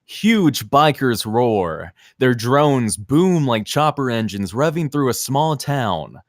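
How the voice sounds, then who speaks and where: A man's voice: medium pitch, sing-song, slow, no room sound, clean studio sound. A man narrating scene descriptions and stage directions at a screenplay table read.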